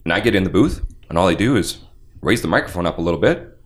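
A young man with a deep bass-baritone voice, telling stories animatedly as a guest in a casual podcast interview. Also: quick pace, a little hiss or hum. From Canada. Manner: animated storyteller, acts out quotes. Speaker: voice actor guest.

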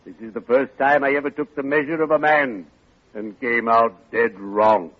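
An actor playing a pompous, mock-mournful undertaker with formal diction and drawn-out punchlines in a 1940s radio comedy. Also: male voice, medium pitch, fairly even intonation, natural voice. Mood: mock devastated. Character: theatrical, mock-mournful, chummy male undertaker. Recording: noisy, dull vintage radio recording.

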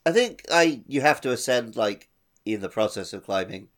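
A man's voice: mid-range voice, animated, moderate pace. A man co-hosting a podcast, talking casually and wryly about a video game. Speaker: podcast co-host. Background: plucked guitar music.

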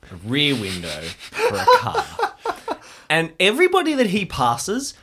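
Animated man telling improvised story casually. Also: on the high side, unhurried, slight background noise. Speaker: cast member of an audio drama podcast.

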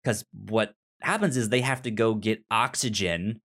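A man's voice: fairly low pitch, moderate pace, a bit bright. A young man co-hosting a casual movie discussion, stammering and often repeating sounds and words as he talks. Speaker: podcast co-host.

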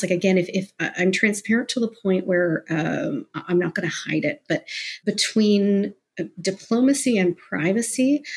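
A woman with a mid-pitched, slightly husky voice. She talks like a guest in a candid interview, in a conversational and discursive way. She is animated when she explains things. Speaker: interview guest, business professional.

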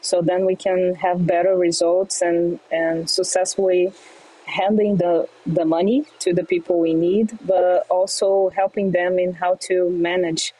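Soft-spoken woman from Brazil, low and mellow. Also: flat delivery, poor audio. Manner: halting, many fillers and restarts, soft laughs. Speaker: guest, fintech entrepreneur. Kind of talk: interview answers.

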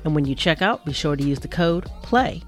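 Woman, deep voice, ad read, faint music behind. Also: lively intonation, fairly quick. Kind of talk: read advertisement.

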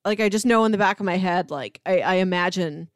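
An American woman co-hosting a podcast, chatting casually about films in a chatty, animated way. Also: on the low side, a little fast, no room sound, clean studio sound. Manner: chatty, animated, often laughing while talking. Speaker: podcast co-host.

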